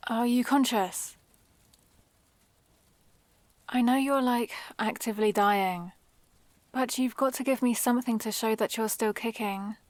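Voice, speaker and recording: A woman's voice: on the high side, no room sound. A British woman playing a haughty supervillain in an ASMR roleplay, dry, sardonic and commanding, with a grudgingly caring streak, close to the microphone. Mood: urgent, exasperated. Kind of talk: ASMR roleplay, acted monologue to the listener.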